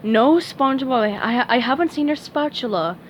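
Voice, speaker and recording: A weary, patient female pufferfish teacher, as a woman voices her. Her tone is sighing and long-suffering, lively in pitch. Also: moderate pace, lo-fi sound, a little hiss or hum.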